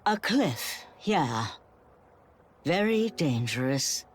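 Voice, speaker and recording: An actress playing a frail, dying young woman in a video game, resigned and stubborn, with wistful, halting delivery. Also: deep voice, big pitch swings, a little hiss or hum, full character voice. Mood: wistful, bitter. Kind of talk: dramatic video game cutscene acting.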